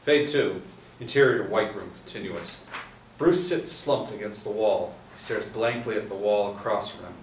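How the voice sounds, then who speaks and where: Low-voiced man reading stage directions. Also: normal speed. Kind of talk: script reading. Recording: roomy echo, distant mic, faint hiss.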